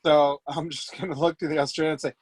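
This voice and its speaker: A male player at a tabletop game, chatting and joking casually. His voice is a bit high for a man and sounds dry and close. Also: flat delivery, fast talker, slightly rough, no room sound.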